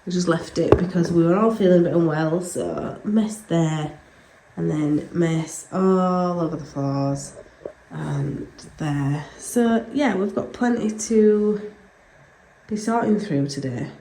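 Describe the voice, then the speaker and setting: A woman with a cold, chatting casually through a home vlog and filling pauses with frequent ums. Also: fairly low pitch, expressive, mellow, slight background noise. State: full of cold, sore throat. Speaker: vlogger. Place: indoors, home playroom. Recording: echoey room.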